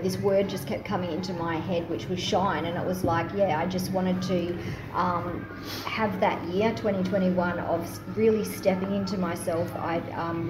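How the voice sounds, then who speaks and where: Informal woman with a slightly low voice. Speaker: guest poet. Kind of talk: casual live interview storytelling. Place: live in a taproom bar. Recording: echoey, noisy live venue recording.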